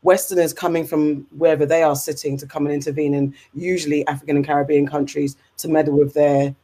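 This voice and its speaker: A woman with an English accent, hosting a casual discussion show, talking in an animated, rambling way with fillers and restarts. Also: low-pitched, fast. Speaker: podcast host.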